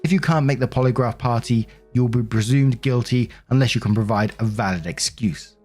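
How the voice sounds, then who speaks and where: Guy doing a indignant bossy bride ranting her posts, emphatic, normal male pitch, music under. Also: fairly quick, darkish tone, natural voice. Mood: threatening. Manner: self-righteous, emphatic, bossy. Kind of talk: dramatic reading of social media posts.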